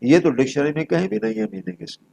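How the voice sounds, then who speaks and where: A man's voice: on the high side, animated, fast, gravelly, dark tone. A man with a Pakistani accent giving an explanatory law lecture, repeating key phrases emphatically and mixing in English legal terms. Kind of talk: explanatory lecture mixed with reading legal text.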